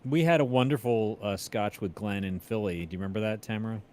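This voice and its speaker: A man's voice: fairly low pitch, quick pace, darkish tone, a little hiss or hum. A man chatting casually as a livestream host. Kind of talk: casual chat.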